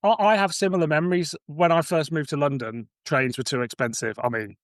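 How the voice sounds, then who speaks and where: A man speaks in a somewhat high voice, recorded dry with no room sound. He chats casually as a podcast speaker, informal and musing. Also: fairly quick, no echo. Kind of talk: casual chat.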